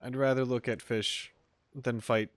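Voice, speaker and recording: A man's voice: mid-range voice, steady tone, unhurried. A man giving casual, slangy gameplay commentary as a streamer in an offhand manner. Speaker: video game streamer.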